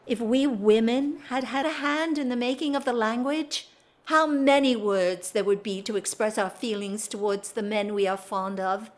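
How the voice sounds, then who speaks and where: Restless society wife, period audio play. Very high voice, unhurried delivery, candid and wryly dramatic. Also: female voice, a bit bright, exaggerated voice. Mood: candid, impassioned. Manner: theatrical, wry, drawling with emphatic swells. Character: restless, bored aristocratic wife confessing candidly. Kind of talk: period drawing-room audio drama acting.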